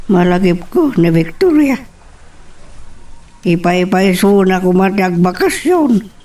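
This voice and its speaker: Casting: actor as weary farm man grumbling in radio drama, high voice, unhurried delivery, bit off-mic. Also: darkish tone, distant mic, exaggerated voice. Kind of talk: audio drama monologue.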